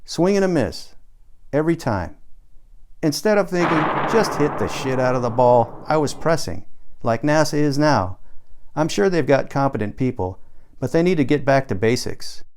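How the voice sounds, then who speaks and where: A man's voice: mid-range voice, animated, normal speed, darkish tone, close to an everyday voice. Man playing a brash, punchy American booster. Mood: brash, emphatic. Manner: punchy, pep-talk spiel. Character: brash American man preaching free enterprise, sporty, impatient.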